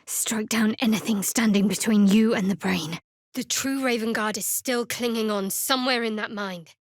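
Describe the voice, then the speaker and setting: Actress as young female cleric, higher voice, guarded, dry wit, measured delivery, close dry studio sound, fantasy game dialogue. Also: fairly even intonation, no echo, lightly acted. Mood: resolute, grave. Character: guarded, wry young woman cleric of a dark goddess. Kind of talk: video game character dialogue.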